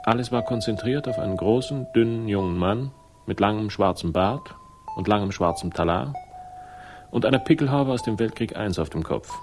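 An actor playing a wry, world-weary private investigator who narrates a crime radio drama in a deadpan, sardonic way. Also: male voice, lowish voice, normal speed, music in the background, natural voice. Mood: dry irony.

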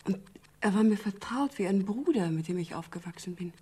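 An actress playing a polite, grieving young woman in a radio crime drama, speaking softly and wistfully. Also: mid-range voice, lively intonation, fairly quick, husky. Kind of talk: 1970s German radio crime drama acting.

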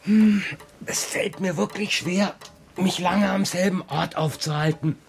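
A man acting a restless, playful father in a children's radio play, musing and gently evasive as he banters. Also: high-pitched, measured pace, creaky. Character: restless, playful father talking with his young daughter. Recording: studio radio play with music.

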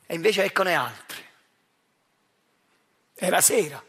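A man from Naples with a Neapolitan accent delivers a dramatic theatrical monologue in a deliberate, confiding way, addressing his listeners directly. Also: sits high, lively intonation, fairly bright tone, breathy edge. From Naples, Italy. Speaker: actor and writer performing his own monologue.

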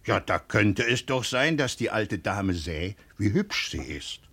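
Radio drama: loyal village friend, expressive melody, fairly deep, musing and halting. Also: male voice, vocal fry, breathy edge, heavily acted. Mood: musing, coaxing. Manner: hesitant, musing, soothing. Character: kindly, loyal villager and family friend, hesitant daydreamer. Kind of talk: German radio play acting.

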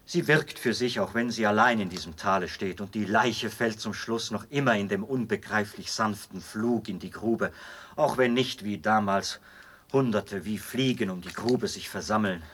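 An actor playing a fanatical officer in a radio drama, speaking in an insistent, rhetorical way with dramatic pauses. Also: male voice, fairly low pitch, a bit bright, slight background noise, close to an everyday voice. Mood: nostalgic, wistful. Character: male officer, fanatical devotee of an old execution machine. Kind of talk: German radio play acting.

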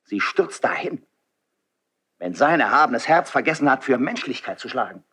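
A man's voice: mid-range voice, lively intonation, moderate pace, bright, forceful tone, husky. A man playing a noble, idealistic marquis and loyal friend in a classical German verse radio drama, declaiming earnestly and eloquently. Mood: solemn appeal.

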